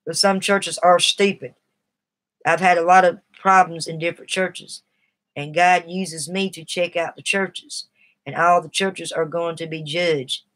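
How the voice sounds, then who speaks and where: A woman's voice: fairly low pitch, steady tone, unhurried, a bit bright. A middle-aged woman, talking earnestly and at length on a live stream while shaky from nicotine withdrawal. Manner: rambling, earnest and confiding. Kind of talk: casual live stream talk.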